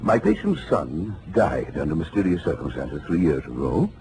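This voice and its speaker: An actor playing a pompous, fussy, excitable male psychoanalyst in a 1940s radio drama, halting and emphatic. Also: extremely low pitch, full character voice. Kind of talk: 1940s radio comedy-mystery acting. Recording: noisy vintage radio recording.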